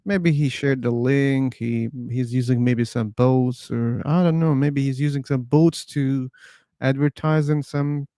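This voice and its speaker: A man's voice: medium pitch, steady tone, measured pace, mellow, no echo, studio quality. A man hosting a live discussion stream in casual chat, easygoing, often laughing and restarting words.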